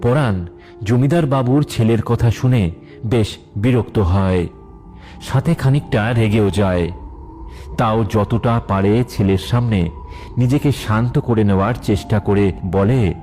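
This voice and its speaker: A man's voice: fairly low pitch, unhurried, mellow. A man narrating an emotional children's story from a script as the storyteller. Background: faint music.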